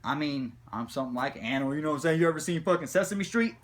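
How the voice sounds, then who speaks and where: A man telling a comic personal story in a rambling, slangy, profane way with animated retelling. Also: a little high, rapid-fire. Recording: background noise, room ambience.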